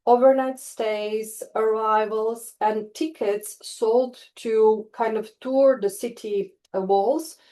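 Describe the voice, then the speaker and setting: A woman's voice: a little high, steady tone, slow, hardly any pauses, warm tone. A woman from Istria in Croatia giving an online webinar talk as a tourism researcher, speaking over a video call and hesitating often with fillers and restarts. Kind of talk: online presentation.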